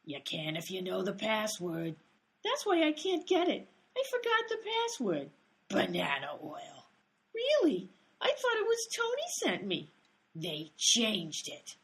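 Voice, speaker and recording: Woman doing brassy 1920s theatregoers, swooping pitch. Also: huge pitch swings, a little slow. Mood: gossipy. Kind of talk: old-time radio comedy acting.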